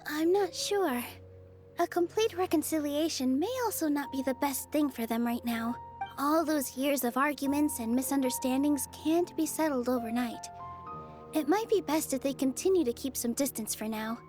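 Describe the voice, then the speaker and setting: A woman plays a young dancer in a video game with a high, gentle voice. She is earnest and encouraging, and music plays softly or steadily behind her. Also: normal speed, lightly acted. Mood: warm, earnest. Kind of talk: scripted video game dialogue. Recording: background music under the dialogue.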